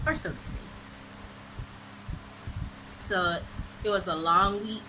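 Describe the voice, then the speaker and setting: A young woman from Jamaica with a Jamaican accent, telling a dramatic storytime for her vlog in an animated, exclamatory way. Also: medium pitch, slow. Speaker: vlogger. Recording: noisy background ambience.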